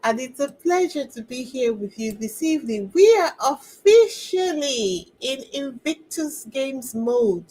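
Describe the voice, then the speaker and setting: A woman's voice: high-pitched, very animated, unhurried, hardly any pauses, mellow, breathy edge, poor audio. A woman hosting a royal news channel, chatting casually to viewers with sarcastic asides.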